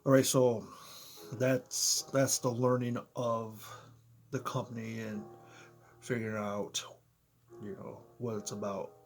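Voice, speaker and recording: A man with a slightly hoarse, froggy voice talks casually into a close camera in his apartment. His pitch sits in the middle range, and he keeps checking in with the viewer. Faint music plays underneath. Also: level tone, slow, pauses often. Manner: conversational, talks straight to viewers, frequent "you know" and "right?". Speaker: motivational vlogger. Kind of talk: casual explainer vlog. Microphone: close to camera, in front of computer.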